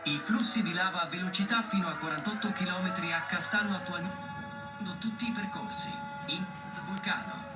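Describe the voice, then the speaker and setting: A man's voice: high voice, fairly quick. A man reading a scripted emergency warning in a formal, impersonal way. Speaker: emergency alert announcer. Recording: roomy, distant playback with music.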